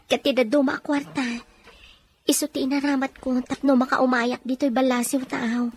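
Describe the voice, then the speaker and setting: A woman's voice: high voice, flat delivery, moderate pace, a little mellow, a little hiss or hum, lightly acted. A woman playing a regretful, resigned young mother in an audio drama, confiding and subdued. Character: regretful, resigned young mother working abroad, former secretary. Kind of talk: amateur audio drama acting.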